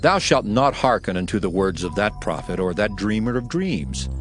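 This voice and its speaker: A man's voice: lowish voice, big pitch swings, moderate pace. A man playing a solemn lawgiver preaching commandments, declaiming in a dramatized scripture reading with music behind him. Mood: stern, commanding. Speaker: scripture narrator.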